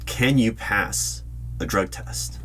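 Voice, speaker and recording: A man with a low voice plays a polite but secretive government hiring manager in a spoken ASMR roleplay. He talks formally and deliberately, leaving pauses. A clear hum and the clatter of computer keyboard typing sit behind him. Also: a bit bright. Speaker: roleplay video creator playing an interviewer. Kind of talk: ASMR roleplay, spoken rather than whispered.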